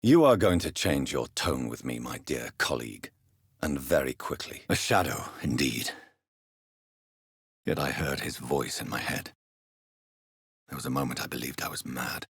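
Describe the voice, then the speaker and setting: A tormented British gentleman vampire for a video game. His voice is very deep and husky, recorded close and dry. He speaks formally and articulately, with grave, stern intensity. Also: lively intonation, normal speed, long pauses, dry recording, full character voice. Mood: stern, menacing, then haunted, hushed. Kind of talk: video game voice acting, scripted dramatic dialogue.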